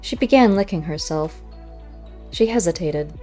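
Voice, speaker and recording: A woman narrating a first-person story as an audiobook, in measured, literary storytelling. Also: fairly low pitch, expressive, mellow, soft background music.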